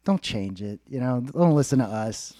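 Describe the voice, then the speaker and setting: A young man co-hosting a comedy podcast, joking and laughing often, with a slightly raspy voice. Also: mid-range voice, expressive, moderate pace, warm tone, no echo, clean studio sound. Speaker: podcast co-host. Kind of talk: casual comedic podcast banter.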